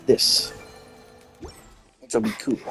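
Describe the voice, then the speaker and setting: A man's voice: slow pace, fairly bright tone. A man giving casual playthrough commentary as a game streamer, muttering offhand asides. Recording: game music behind the voice.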